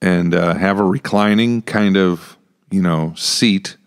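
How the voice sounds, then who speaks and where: A man's voice: sits low, slow, clear, resonant tone. A middle-aged American man podcast host talking casually and thinking aloud, close on a studio mic. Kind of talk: casual discussion. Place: small podcast room with acoustic panels. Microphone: close studio mic on stand.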